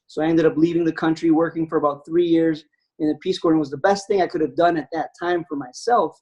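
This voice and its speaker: Casting: man in his forties, fairly high voice, reflective webinar guest, video call audio. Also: a little mellow, slightly rough, dull audio. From United States. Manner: reflective, many fillers. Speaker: anesthesiologist guest. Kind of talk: webinar talk.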